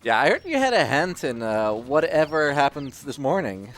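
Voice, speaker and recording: Man, lively swooping pitch, speedrunner's casual commentary, halting explanations, faint game music behind. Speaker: speedrunner commentating on own run. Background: video game music.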